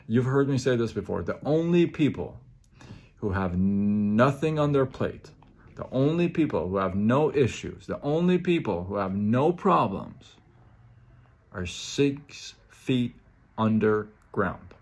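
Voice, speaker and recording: A man hosting a motivational live show, speaking emphatically with repeated phrases and an occasional stutter, greeting viewers by name. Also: fairly low pitch. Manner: stutters at times.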